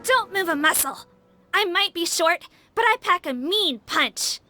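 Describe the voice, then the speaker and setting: A woman's voice: very high voice, animated, measured pace, music in the background. An actress voicing a short, tough young female guard who talks in a cocky, tough-talking way. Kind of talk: video game voice acting.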